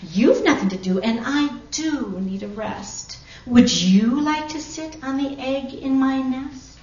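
A woman's voice: high voice, slow, darkish tone, far from the mic, lo-fi sound, pitched above the performer's own voice. Woman as a lazy, wheedling storybook mother bird, roomy. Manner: coaxing, sugary pleading.

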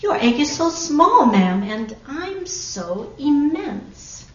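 A woman voicing a huge, gentle male elephant from a storybook, patient and earnest, speaking in emphatic rhyme. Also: high voice, very animated, slow, echoey room, recorded from a distance, highs cut off, a little hiss or hum, voice pushed up, heavily acted. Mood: amused disbelief. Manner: emphatic, rhyming declarations.

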